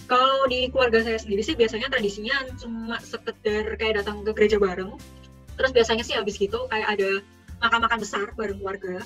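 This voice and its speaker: This is a young woman in her twenties, from Tegal in Central Java, answering interview questions. Her voice is slightly high and she speaks calmly and plainly, with a touch of formality. Soft music plays in the background. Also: fast, poor audio. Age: 20s.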